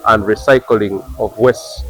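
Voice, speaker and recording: A man with a Ugandan English accent explaining waste management in measured, short phrases. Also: lowish voice, fairly even intonation, poor audio. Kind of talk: explanatory talk.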